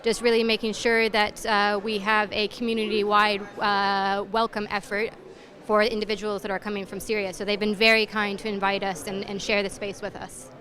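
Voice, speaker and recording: A woman representing a refugee committee, speaking in a measured way. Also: medium pitch, little pitch movement, fairly bright tone.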